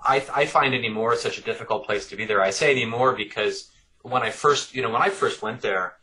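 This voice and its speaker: Bright-voiced man musing in an interview. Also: medium pitch, fairly quick, poor audio. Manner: thoughtful, repeats words while working out ideas. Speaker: author guest. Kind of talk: conversational interview answers.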